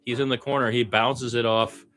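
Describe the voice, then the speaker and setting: A man's voice: medium pitch, level tone, moderate pace, fairly bright tone. Man calling live basketball play-by-play. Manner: conversational, opinionated asides between calls. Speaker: radio play-by-play announcer.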